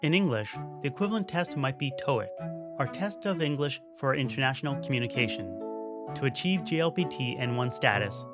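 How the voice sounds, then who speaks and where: A man narrating a documentary in an even, explanatory way. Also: mid-range voice, brisk, music in the background. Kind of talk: scripted narration.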